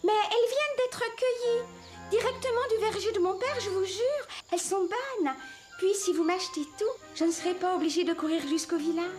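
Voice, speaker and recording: A woman voicing an insistent, childlike little peasant girl selling her wares in a dubbed fairy-tale cartoon. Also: brisk. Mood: coaxing. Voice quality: high, childlike. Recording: loud music behind the voice.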